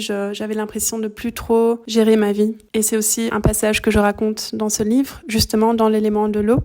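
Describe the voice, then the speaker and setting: A middle-aged woman from Belgium, a native German speaker, telling her story calmly and thoughtfully in a podcast interview. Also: mid-range voice. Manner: calm, thoughtful, searching for words. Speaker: guest, shiatsu practitioner.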